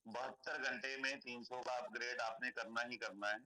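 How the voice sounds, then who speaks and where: A man with a hoarse throat makes a persuasive pitch for a donation scheme. He explains each step slowly and goes back over the key points. His voice has a very bright, sharp edge. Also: steady tone, rough recording. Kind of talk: sales pitch on a call.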